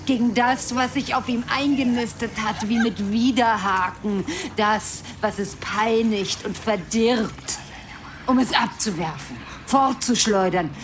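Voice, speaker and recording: A woman playing a brooding prophetic figure in a radio play, speaking in ominous, incantatory, drawn-out phrases of rage at mankind. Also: mid-range voice, background noise. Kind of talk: dramatic radio play monologue. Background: music.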